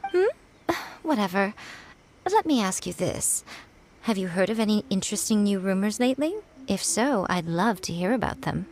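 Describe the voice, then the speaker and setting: A woman voicing a sly, teasing shrine priestess in a video game, amused and unhurried. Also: mid-range voice, animated, darkish tone, slight background noise, natural voice.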